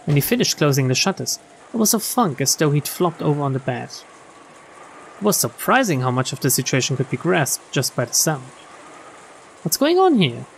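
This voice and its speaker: A woman streamer reading visual novel text aloud in an expressive storytelling style. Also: low voice, gravelly, slight background noise. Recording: game music behind the voice.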